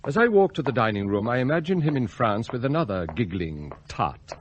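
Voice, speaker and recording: An actor playing an outwardly loyal but bitter, plotting butler in a radio drama, speaking in formal, precise words. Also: male voice, mid-range voice, very animated, slight background noise, natural voice. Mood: cold, bitter. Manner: formal, precise, measured wording. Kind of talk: radio drama acting with confiding narration.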